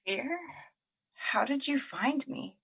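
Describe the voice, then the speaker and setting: A woman's voice: high-pitched, expressive, slow, creaky, a bit bright, breathy edge, full character voice. An actress in an audio drama playing a wounded, wary young woman who talks in a casual, hesitant and candid way. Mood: tentative, hopeful.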